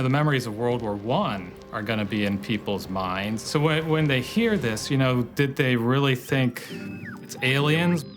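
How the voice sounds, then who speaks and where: A man giving explanatory interview commentary, with halting, thoughtful phrasing. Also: on the low side. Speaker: documentary interviewee.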